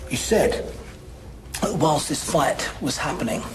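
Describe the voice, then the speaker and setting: A man's voice: a little high, a little slow, over music, natural voice. A man playing a patient police detective in a crime drama, coaxing and probing as he questions. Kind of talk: naturalistic crime drama acting.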